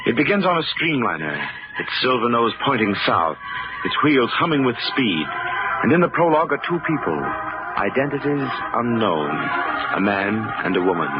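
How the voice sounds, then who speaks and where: Low, solemn man narrating vintage radio suspense, deliberate and ominous, loud music behind. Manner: portentous, theatrical, deliberate. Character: ominous, solemn male storyteller of suspense tales. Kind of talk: old-time radio scripted narration. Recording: dull old radio recording.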